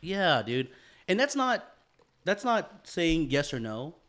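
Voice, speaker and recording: A man's voice: a little high, big pitch swings, takes it slowly, a bit bright. Rambling man full of verbal fillers. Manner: rambling, heavy on "you know" fillers, self-deprecating. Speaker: podcast co-host. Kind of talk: casual podcast chat.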